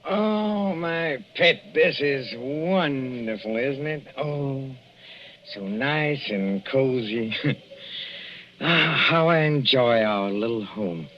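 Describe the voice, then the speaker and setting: An actor playing a fussy, cloying older husband with veiled menace in a 1940s radio drama, coaxing with oily endearments and small chuckles. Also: male voice, mid-range voice, animated, extremely slow. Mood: cloyingly affectionate. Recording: dull, noisy old radio recording.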